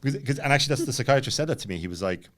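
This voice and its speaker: A man's voice: mid-range voice, expressive, rapid-fire. A man in his late thirties telling a personal story casually, rambling and jumping around with lots of filler words. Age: late 30s. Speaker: podcast guest.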